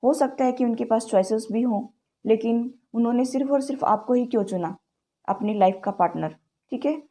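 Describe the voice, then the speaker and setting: Woman, tarot reader, chatty direct-to-camera style, warm tone, sore throat. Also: on the high side, a little fast. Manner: chatty, keeps addressing viewers as "guys"; mixes English words into Hindi.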